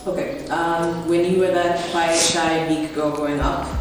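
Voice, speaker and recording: A woman's voice: low-pitched, level tone, a little slow. A woman interviewer asking short questions with a few hesitant fillers. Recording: distant, very echoey room with music.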